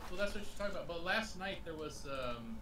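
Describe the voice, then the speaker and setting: A man chatting casually about politics as a stream guest, explaining in a halting way and repeating his words. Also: fairly high pitch, animated, sharp tone. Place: remote voice chat call. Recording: echoey, distant, noisy voice chat feed.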